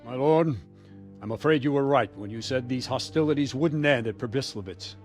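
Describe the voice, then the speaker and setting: A man's voice: mid-range voice, normal speed, a little mellow, lightly acted. Dutiful medieval guard captain over faint music male actor. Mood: grave. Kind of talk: dramatic video game acting.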